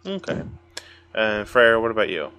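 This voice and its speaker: Man, American, podcast host, very low voice, casual, playful. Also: big pitch swings, normal speed, sharp tone, smooth. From United States.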